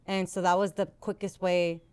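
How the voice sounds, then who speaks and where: A woman's voice: lowish voice, flat delivery, normal speed, a little mellow, smooth. A woman speaking as a podcast guest and former radio host, candid and conversational, with an earnest, reflective way of talking.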